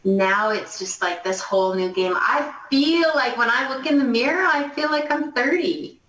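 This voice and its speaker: A woman's voice: a little high, expressive, normal speed, a bit bright. A woman in her mid fifties giving an unscripted testimonial in a gushing, emphatic, enthusiastic way. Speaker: company distributor giving testimonial. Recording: roomy, echoey, distant mic.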